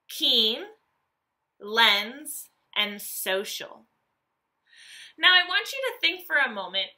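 A woman, reading a nonfiction book aloud to young children as a teacher, animated and carefully enunciated. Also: fairly high pitch. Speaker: elementary school teacher.

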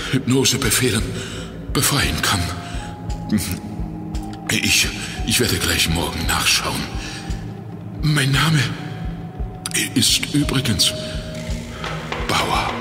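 A man playing a hypnotist who explains a secret plot in halting, deliberate phrases in a children's audio drama. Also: medium pitch. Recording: echoey hall reverb under music.

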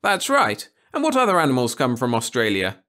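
Guy doing a bossy prim lady teacher for a storybook, high voice, very up and down, scolding. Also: wildly swooping pitch, brisk, sharp tone. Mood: brisk, instructive. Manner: scolding, primly authoritative. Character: strict, fussy, complacent woman schoolteacher. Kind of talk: storybook audiobook character voice.

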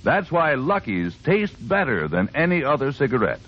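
An American man reading a 1950s radio advertising pitch as a commercial announcer. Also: sing-song, moderate pace, a bit bright, slightly rough. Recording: dull vintage radio recording.

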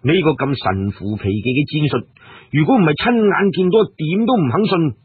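Guy narrating an adventure audiobook, really raspy, swoopy pitch, tinny phone-like sound. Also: moderate pace, dry recording. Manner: expressive, animated storytelling. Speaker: storyteller. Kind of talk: dramatic audiobook narration of a martial arts tale. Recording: muffled phone-like radio sound.

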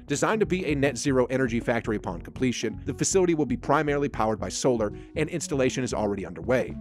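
A man reading a scripted narration, in a flat, even delivery of a dense factual text. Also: mid-range voice. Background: faint background music.

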